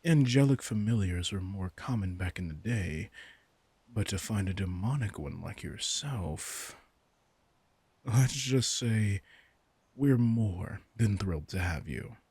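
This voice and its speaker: A man's voice: on the low side, lively intonation, slow pace, a little mellow, slightly rough, no room sound, heavily acted. A man playing a commanding, teasing vampire king in a roleplay audio drama, smug and casually menacing as he speaks straight to the listener. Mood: amused, explaining.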